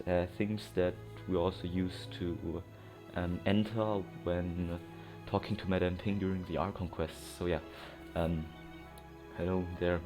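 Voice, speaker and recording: Male gaming streamer: very low voice, informal and hesitant, commentating over clear game music. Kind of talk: casual game playthrough commentary.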